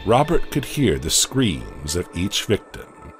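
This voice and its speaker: A man narrating a horror audio comic in a dramatic, measured storytelling manner. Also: low voice, wildly swooping pitch. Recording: music bed underneath.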